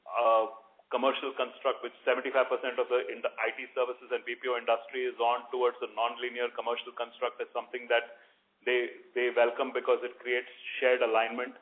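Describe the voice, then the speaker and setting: A man's voice: medium pitch, flat delivery. A man, a company executive giving careful, jargon-heavy answers on an earnings call. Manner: business jargon, careful and deliberate. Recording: narrowband phone conference line.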